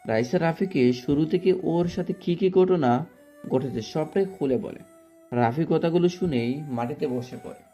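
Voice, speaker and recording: Casting: male narrator for sad love stories, soft dark tone, average pitch, music bed. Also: normal speed. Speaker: story narrator. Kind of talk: scripted narration of a romantic story.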